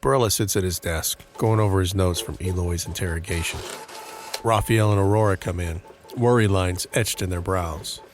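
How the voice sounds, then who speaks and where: A man's voice: low voice, normal speed, a little hiss or hum. A man reading scripted narration for a horror mystery audio drama.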